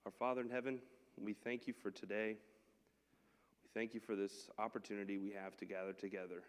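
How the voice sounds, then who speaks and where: A man's voice: fairly low pitch, level tone, brisk. A man leading a church Bible class with prayer and scripture reading, speaking in a conversational, unpolished way. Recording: long hall reverb.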